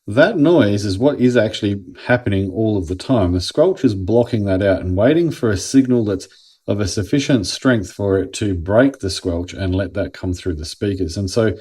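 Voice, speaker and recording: A man's voice: on the low side, expressive, moderate pace, darkish tone, breathy edge. An Australian man giving a casual, conversational product review and explaining things as he goes. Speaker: host of a product review channel.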